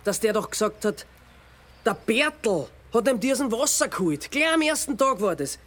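An actor playing an earnest, insistent amateur detective in a radio play. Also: male voice, sits high, sing-song, normal speed, a bit bright. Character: amateur sleuth man, dogged and earnest.

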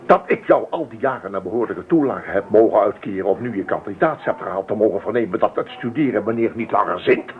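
Proud middle-aged patriarch played by a man, pompous speechmaker, very varied pitch, radio drama. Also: lively intonation, fast talker, hardly any pauses, lightly acted. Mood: indignant. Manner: pompous, speechifying, long formal sentences. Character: formal, proud, easily affronted patriarch. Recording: phone-like old radio recording.

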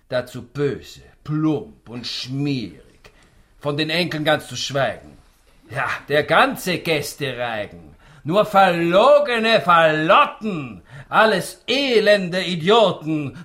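A man's voice: fairly high pitch, fairly bright tone, full character voice. A man acting a spiteful, gloating rich old man in a radio play, sneering theatrically in rhymed verse. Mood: contemptuous.

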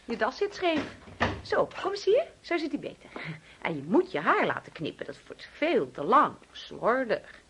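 An actress in a radio drama playing a doting, controlling mother who fusses and coaxes with sweet insistence in a husky voice. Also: on the high side, big pitch swings, moderate pace, fairly bright tone, full character voice. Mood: fussy, coaxing. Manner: coaxing, fussing, overbearing.

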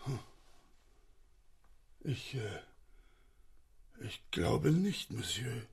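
A baron's manservant in a radio crime play. His voice is very raspy and creaky, and he speaks with polite, subservient hesitancy. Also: mid-range voice, big pitch swings, very slow, exaggerated voice. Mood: hesitant, deferential. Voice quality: husky, creaky. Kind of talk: radio drama dialogue.

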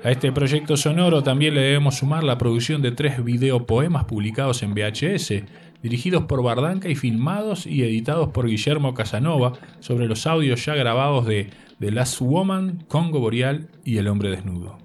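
A man from Uruguay, reading a prepared radio text as the presenter in a measured way. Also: mid-range voice, expressive, recorded from a distance. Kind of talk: scripted radio narration.

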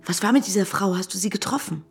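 An actress playing a worried, caring friend in a German radio drama, speaking in natural dialogue. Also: female voice, mid-range voice, fast, gravelly, faint music behind, lightly acted. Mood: gentle worry. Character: worried, caring friend tending a panicked woman.

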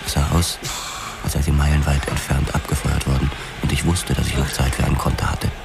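A man's voice: rumbling low, flat delivery, fairly quick, vocal fry. An actor playing a hard-boiled boxer turned policeman, narrating his past tersely and grimly in a noir radio drama. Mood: tense, grim. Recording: music behind the narration. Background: dramatic music.

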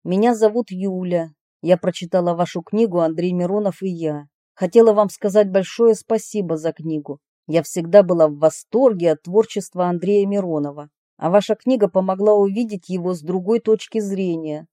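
A woman reading personal letters aloud in an expressive way. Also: fairly low pitch, moderate pace, hardly any pauses, no room sound, clean studio sound.

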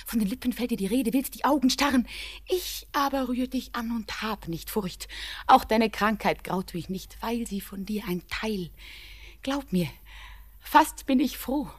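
Classic radio drama: high-pitched young beloved, anxious and fervent, poetic stage diction. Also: female voice, expressive, a little slow. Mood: tender, anxious. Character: young woman in love, anxious, devoted, pleading.

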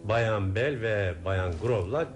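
A man acting in a radio play as a calm, courteous investigator who speaks in a polite, deliberate, probing way. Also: lowish voice, over music, close to an everyday voice.

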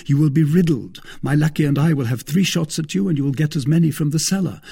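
A man's voice: on the high side, fast talker, creaky, mellow, slightly rough, pitched above the performer's own voice. A man voicing a bold, courteous swordsman in an adventure audiobook, speaking formally and with assured emphasis. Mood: urgent, persuasive. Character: bold, courteous young swordsman, commanding yet diplomatic. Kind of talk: dramatised classic adventure audiobook.

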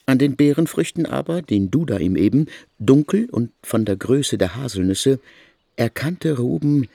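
Male narrator, gravelly, measured literary reading. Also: medium pitch, expressive, mellow, dry recording, clean studio sound.

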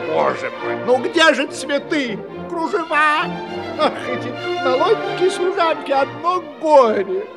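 Court master of ceremonies, comic fairy-tale audio play. Extremely high, animated, fussy, self-pitying. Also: male voice, unhurried, gravelly, over loud music, heavily acted. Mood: flustered, lamenting. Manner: fussy, lamenting, exclamatory.

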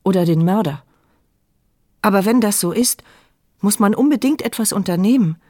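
A woman's voice: mid-range voice, lively intonation, normal speed, a little mellow, no room sound, clean studio sound, close to an everyday voice. An actress playing a blind, mute woman narrating her thoughts with a wry, sardonic edge. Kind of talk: radio play inner monologue.

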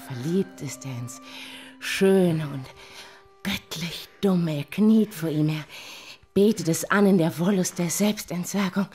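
An actress playing a sensual, grandiose middle-aged lady writer in a radio play, breathy and dramatic, breaking into whispers. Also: low-pitched, darkish tone. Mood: rapturous, confiding. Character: sensual, theatrical lady writer craving self-abasement. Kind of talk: German radio play acting.

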